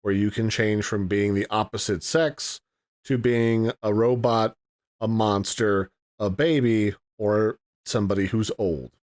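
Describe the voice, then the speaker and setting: A man's voice: lowish voice, fairly even intonation, unhurried, a little mellow. A man giving a casual, chatty product review and walkthrough, explaining things in a folksy way. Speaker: tech reviewer.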